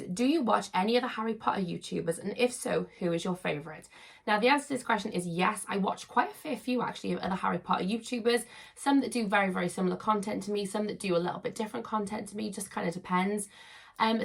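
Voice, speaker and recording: Woman in her thirties for a casual vlog, hoarse and fried, chatty, repeats words for emphasis. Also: medium pitch, a bit bright. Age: 30s. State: hoarse, croaky from losing her voice. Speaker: YouTuber. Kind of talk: casual chat to camera.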